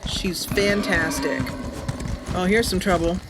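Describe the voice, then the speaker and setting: A woman's voice: lowish voice, steady tone, slow. A woman giving casual, chatty, enthusiastic livestream commentary as she plays a game. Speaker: game streamer and channel host. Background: game music and sounds.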